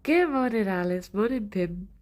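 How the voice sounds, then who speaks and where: A woman's voice: medium pitch, expressive, moderate pace, darkish tone. A woman with a stuffed-up nose, chatting casually with her live chat, greeting viewers by name and giggling often. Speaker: streamer.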